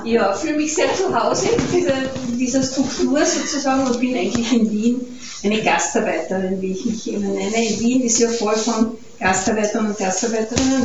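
Echoey, distant Austrian woman giving a talk. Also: medium pitch. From Carinthia, Austria. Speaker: guest speaker, peace activist. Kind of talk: informal public lecture. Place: event hall, recorded from a distance. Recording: echoey room, distant muffled mic, noisy.

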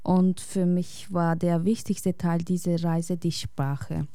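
A woman reads a prepared personal essay on air, calm and reflective, taking her time over every phrase. Her voice is warm and smooth. The sound is close and dry. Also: fairly low pitch, slow, no echo. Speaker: radio guest.

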